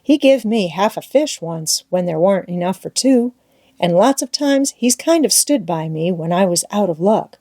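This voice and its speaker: Woman playing storybook vagabond boy, rustic drawl, emphatic, kindly, mellow, dry sound. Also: mid-range voice, lively intonation, normal speed, dry recording. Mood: rueful, kindly. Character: Huck, plain-spoken, superstitious, kindly vagabond boy.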